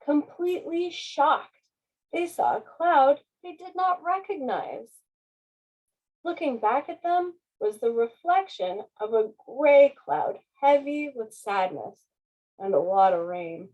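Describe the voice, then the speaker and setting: A woman author presents her picture book aloud. Her voice is high and soft-edged, and she takes her time over the phrases. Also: expressive, takes it slowly, muffled recording. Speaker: children's author reading aloud. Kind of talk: slow storybook narration.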